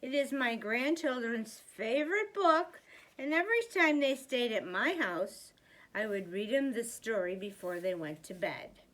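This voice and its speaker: An older woman reads a children's storybook aloud like a grandmother, with deliberate, expressive emphasis for young listeners. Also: a little high, poor audio. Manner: slow, deliberate, animated emphasis.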